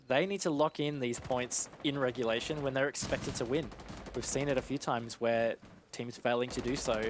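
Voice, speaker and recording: Mid-pitched male esports analyst, conversational. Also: noisy background. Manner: conversational, explanatory.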